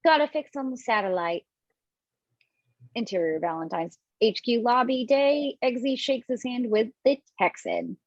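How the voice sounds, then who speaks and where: A woman reads stage directions aloud from home over a dull, low-fi mic. Her voice sits fairly high and sounds close and dry. She moves through the script briskly. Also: no room sound. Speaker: script reader. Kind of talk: table read of stage directions and a role. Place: home, video call.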